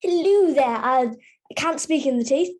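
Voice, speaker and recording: A woman's voice: sits high, lively intonation, unhurried, darkish tone. A woman chatting casually and playfully on a video call. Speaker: participant in an online costume party.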